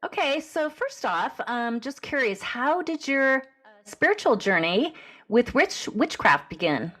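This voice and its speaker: A woman's voice: sits high, very animated, a little slow, a bit bright. A woman hosting a podcast, upbeat and curious, asking her guest questions with frequent fillers. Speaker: podcast host. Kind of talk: podcast interview.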